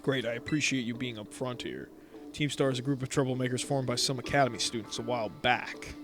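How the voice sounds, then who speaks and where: Man voicing mysterious game caller, calm, earnest, scheming, mid pitch. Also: a little fast, over music, close to an everyday voice. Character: mysterious caller plotting against a gang.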